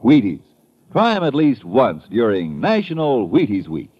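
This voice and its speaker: An American man giving a folksy, persuasive and emphatic sales pitch in 1950s radio advertisement style. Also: wildly swooping pitch, moderate pace, loud music behind the voice. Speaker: commercial pitchman. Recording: dull old radio recording.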